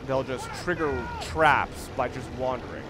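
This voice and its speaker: A man's voice: mid-range voice, fairly even intonation, a little slow. A man giving casual, rambling gameplay commentary as a let's play gamer, with wry, sarcastic asides. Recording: noisy, game sounds behind. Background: video game ambience.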